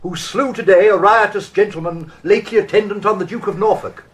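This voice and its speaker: Guy playing a begging nobleman, shakespeare play, high urgent voice. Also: brisk, close to an everyday voice. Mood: urgent pleading. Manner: urgent, insistent. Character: urgent, pleading English lord before his king. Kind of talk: classical Shakespearean audio drama acting.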